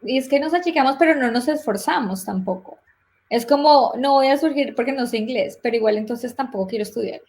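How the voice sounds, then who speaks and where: Guest, young Colombian woman, slightly high voice, chatty storyteller who acts out conversations. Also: a little fast. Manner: lively storytelling, plays out remembered dialogue. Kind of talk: casual interview talk.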